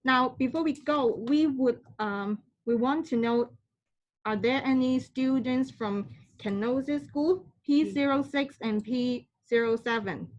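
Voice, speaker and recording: A woman moderating an online question session in a polite, formal, halting way over a slightly dull call connection. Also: high voice, a little mellow.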